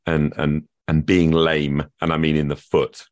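A man's voice: extremely low pitch, flat delivery, unhurried, dry recording, clean studio sound. A British man hosting a podcast, rambling and self-deprecating with many fillers, getting over a cold. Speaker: podcast host. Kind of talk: casual podcast chat.

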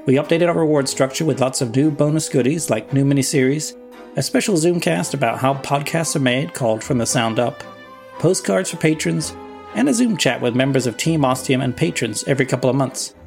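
A man's voice: medium pitch, animated, brisk, vocal fry, darkish tone. Male podcast host over background music. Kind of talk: scripted promo and credits narration.

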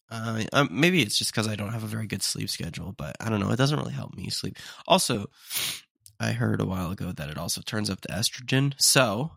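A man's voice: lowish voice, gravelly, a bit bright, no echo, clean studio sound. A young man hosting a casual solo podcast, sounding congested and sniffly as he chats in a rambling, self-deprecating way. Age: young adult. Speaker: podcast host.

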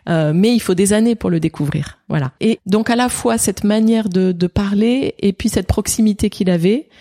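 Creaky, mellow-voiced woman pastor in her 50s. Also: mid-range voice, moderate pace. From France. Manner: reflective, hesitant storytelling with many fillers. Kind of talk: interview conversation. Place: indoors, office.

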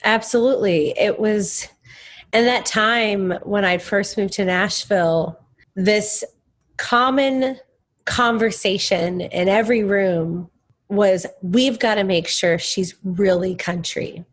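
Young woman guest from Texas, mid-pitched, candid and emphatic. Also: slow. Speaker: guest musician. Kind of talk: conversational interview.

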